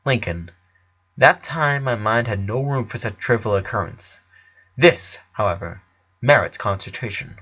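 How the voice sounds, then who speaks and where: Man voicing a clinical little genius girl, bright-edged. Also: fairly low pitch, animated, measured pace, breathy edge, lo-fi sound, full character voice. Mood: clinical, calm. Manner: formal, wordy, matter-of-fact scientific phrasing. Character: Lisa, precocious four-year-old genius girl, formal and clinical. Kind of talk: dramatic reading of cartoon fan fiction.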